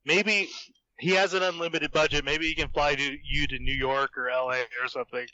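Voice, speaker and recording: A man's voice: fairly high pitch, fairly even intonation, brisk, pushed and bright, no echo. A man in a casual group podcast chat, speaking as a guest author. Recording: dull, lo-fi voice chat audio.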